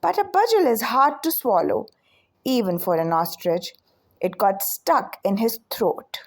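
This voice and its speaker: A woman storyteller reading a children's picture book aloud in an expressive, deliberate way. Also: on the high side.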